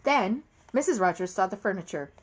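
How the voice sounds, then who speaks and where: A woman reading a children's story aloud in an expressive storytelling style. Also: mid-range voice, a little fast, slight background noise. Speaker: storybook reader.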